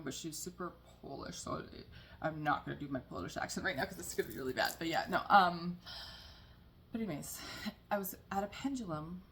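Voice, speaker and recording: Chatty woman with a gravelly low voice. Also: slight background noise. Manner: chatty, rambling, swears casually, frequent laughs and "like". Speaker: tarot reader. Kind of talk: casual spiritual reading to camera.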